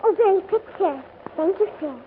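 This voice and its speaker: Pert, polite English child, extremely high pitch, 1940s radio play, faintly noisy. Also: female voice, lively intonation, brisk. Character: pert, stubborn, polite little English girl. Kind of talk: 1940s radio drama acting. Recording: tinny old radio recording, faint noise.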